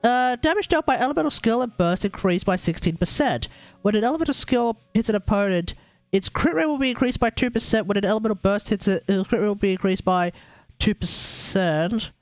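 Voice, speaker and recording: A high-pitched man chats casually as a game streamer, thinking aloud in blunt, slangy commentary. Faint music plays behind his voice. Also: a little fast, dry recording.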